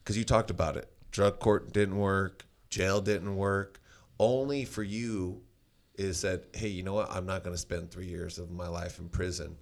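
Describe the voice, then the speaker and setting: Deep-voiced man, loud and rambling. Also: steady tone, a little slow, hardly any pauses. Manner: rambling, with many restarts and fillers. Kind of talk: casual conversation, storytelling.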